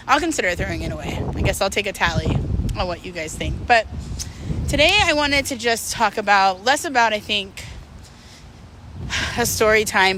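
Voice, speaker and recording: A woman's voice: medium pitch, bright, forceful tone, slight background noise, rough recording. A woman chatting casually and candidly to her viewers, rambling, self-deprecating and swearing freely in a confessional vlog style. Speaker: vlogger. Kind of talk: casual confessional chat to camera.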